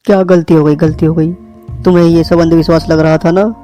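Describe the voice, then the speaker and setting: A man playing an earnest, protective young man in a horror story, quick to chide his friends. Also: fairly high pitch, little pitch movement, dark tone, soft background music, natural voice. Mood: scolding, pleading.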